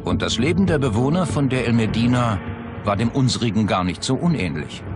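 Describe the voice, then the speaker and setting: A man's voice: mid-range voice, expressive, brisk. A man reading scripted narration as a documentary narrator. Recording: loud music behind the voice. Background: music bed.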